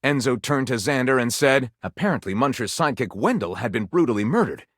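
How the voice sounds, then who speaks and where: A man's voice: mid-range voice, expressive, a little fast, fairly bright tone, no room sound, clean studio sound. A man playing a theatrical, tongue-in-cheek narrator of pulpy detective tales in a video game, arch and savoring every dramatic turn.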